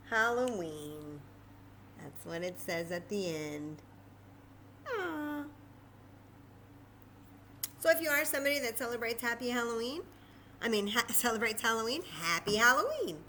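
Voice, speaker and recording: A woman's voice: on the high side, sharp tone, slight background noise. A woman hosting a children's library story time and craft lesson, explaining simply step by step in an informal, chatty way.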